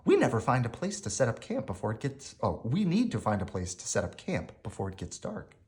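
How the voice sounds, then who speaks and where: An eager young princess from a bedtime story, voiced by a man. She is adventurous and earnest, with a very lively, expressive lilt. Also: mid-range voice, a little fast. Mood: brisk.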